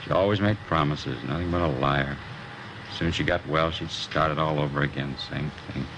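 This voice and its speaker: An actor playing a fed-up working-class American welder in an old radio crime drama, blunt, slangy and resentful. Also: male voice, very deep voice, fairly even intonation, brisk. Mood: bitter. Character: bitter, fed-up working-class welder estranged from his wife. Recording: noisy old radio recording, machinery hum.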